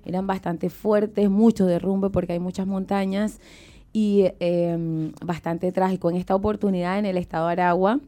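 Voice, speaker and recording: A woman with a soft-edged, mellow tone. She co-hosts live radio in a chatty, descriptive style, speaking straight to her listeners at an average pitch. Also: steady tone, normal speed. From Venezuela. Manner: chatty, descriptive, addresses the audience. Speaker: radio co-host. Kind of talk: casual live radio chat.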